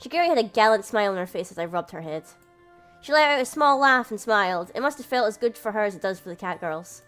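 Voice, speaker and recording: A woman's voice: mid-range voice, lively intonation, fast, fairly bright tone, soft background music, close to an everyday voice. A woman reading a visual novel aloud, voicing a sheepish young man who narrates and argues with his family. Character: young adult man, a flustered older brother who narrates.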